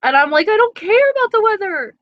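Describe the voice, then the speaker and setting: A woman's voice: very high voice, sing-song, brisk, no echo, lo-fi sound. A young woman with an East Coast accent chatting casually on a live stream, reading viewer comments and swearing freely. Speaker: beauty vlogger.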